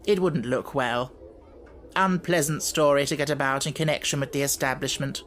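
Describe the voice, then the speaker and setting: A man's voice: mid-range voice, fairly even intonation, moderate pace, fairly bright tone, a little hiss or hum, close to an everyday voice. A man playing a shrewd, unruffled businessman with a dry, detached manner in an audiobook story. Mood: coolly shrewd. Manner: dry, detached, matter-of-fact.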